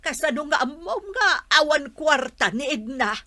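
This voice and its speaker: A woman's voice: sits high, animated, moderate pace, pushed and bright. A woman playing an exasperated, fretting village mother in a radio comedy drama, with an Ilocano accent. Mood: scolding.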